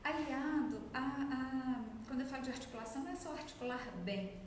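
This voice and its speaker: A woman's voice: high voice, a little fast. A woman with a Minas Gerais accent, a speech therapist explaining her work as an interview guest, in a didactic way. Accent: Minas Gerais Brazilian Portuguese. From Brazil, Minas Gerais. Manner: didactic, acts out quoted remarks. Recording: very echoey room, faint noise.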